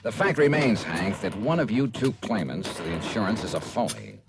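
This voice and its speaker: An actor playing a dogged, skeptical American insurance investigator in a 1950s radio drama, with wry, clipped delivery. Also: male voice, lowish voice, animated, fairly quick, gravelly, noisy background. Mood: probing, skeptical. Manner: probing, wry, clipped questioning. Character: dogged, skeptical male insurance investigator narrating his case. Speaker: radio detective lead and narrator.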